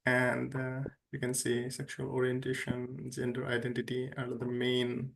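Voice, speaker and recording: A man with a gravelly voice talks slowly and flatly as he goes through poll results on a video call. He stops often with fillers and starts his words again. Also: mid-range voice, low-quality recording. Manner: hesitant, many fillers and restarts. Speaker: workshop co-facilitator. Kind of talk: reading out online poll results.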